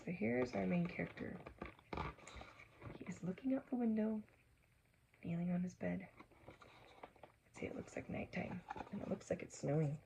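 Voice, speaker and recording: Creaky, mellow woman reading a storybook. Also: on the low side, moderate pace, pauses often, a little hiss or hum, low-quality recording. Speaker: children's storybook reader. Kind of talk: read-aloud storytelling with asides to children.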